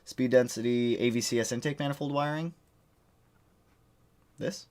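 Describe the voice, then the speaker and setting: A man's voice: medium pitch, fairly even intonation, moderate pace, darkish tone. A man hosting a casual livestream, chatting with viewers and rambling from one topic to the next. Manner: rambling, jumps between topics. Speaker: livestream host. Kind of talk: casual chat answering viewers.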